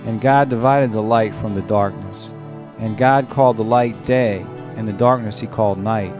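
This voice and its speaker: A man delivers solemn scripted narration in the style of a scripture reading. His delivery is emphatic and declamatory, and guitar music plays clearly behind his voice. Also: on the low side, fairly even intonation, moderate pace.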